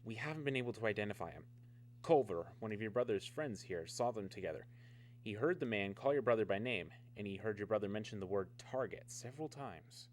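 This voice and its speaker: An actor in a radio drama playing a businesslike security officer, clipped and matter-of-fact. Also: male voice, fairly low pitch, animated, brisk, close to an everyday voice. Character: businesslike male security officer at atomic research camp.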